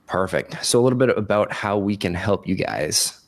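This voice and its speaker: A man with a low voice, raspy from the tail end of a cold, hosts a podcast webinar. He talks informally, addressing people like buddies. The sound is dry and close. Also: expressive, normal speed, dry recording. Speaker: podcast host. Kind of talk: casual webinar hosting.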